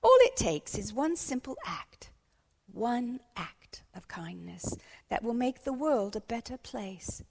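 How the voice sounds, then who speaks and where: A woman reading a children's storybook, voicing a cheerful, excitable schoolteacher who encourages in singsong rhymes. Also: on the high side, slow pace, vocal fry, in a large hall, heavily acted. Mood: encouraging. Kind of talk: children's storybook read-aloud.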